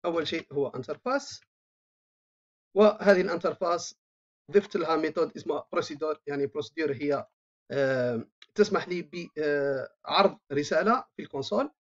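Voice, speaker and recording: A programming instructor, explaining code while typing, thinking aloud with frequent drawn-out filler sounds. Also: medium pitch. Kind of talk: screen-recorded coding tutorial. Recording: dull lo-fi voice-over mic.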